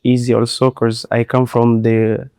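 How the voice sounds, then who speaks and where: A man's voice: mid-range voice, monotone, unhurried, warm tone, smooth, dry recording, studio quality. A man from the Democratic Republic of Congo, speaking careful, recently learned English about his language barrier. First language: French. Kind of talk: personal account in an interview.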